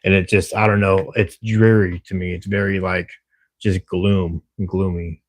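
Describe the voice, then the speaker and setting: A man's voice: low-pitched, level tone, a little slow. A man casually chatting on a livestream, reading viewer comments in a friendly, rambling way with plenty of fillers. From Texas, USA. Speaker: livestreamer working a camera security shift.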